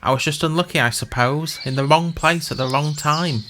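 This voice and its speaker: A man's voice: a little high, normal speed, pushed and bright, slight background noise. A man voicing a dull, meek male accountant in a comic fantasy story, fussy and easily affronted. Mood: resigned, rueful.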